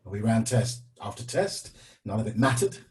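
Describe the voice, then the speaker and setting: An actor playing a grim, defensive realist who delivers bad news in a dramatic script read. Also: male voice, fairly low pitch, sing-song, moderate pace, a little mellow. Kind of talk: table read of a screenplay, dramatic dialogue.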